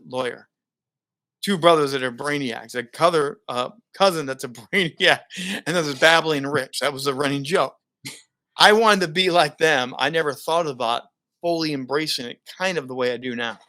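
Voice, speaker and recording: A man's voice: a little high, normal speed. A middle-aged man, a broadcaster and speaker, telling stories in a casual interview and laughing often. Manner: animated storyteller, laughs often. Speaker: guest, broadcaster and keynote speaker.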